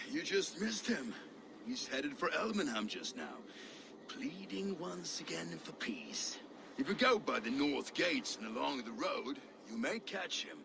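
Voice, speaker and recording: A gravelly, animated warrior man, blunt and sardonic, the kind of game character who sizes people up with scorn. His pitch sits a little high and there is clear noise behind him. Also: unhurried, full character voice. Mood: wry, blunt. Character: gruff, scornful male Danish warrior ally, blunt adviser. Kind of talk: video game cutscene acting.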